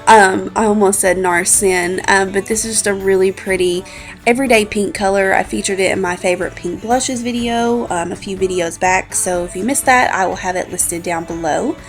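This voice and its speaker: A woman's voice: mid-range voice, moderate pace. A woman in her twenties with a congested, slightly scratchy voice, chatting informally as a beauty vlogger narrating a makeup routine. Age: 20s. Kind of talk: casual makeup tutorial narration. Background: background music.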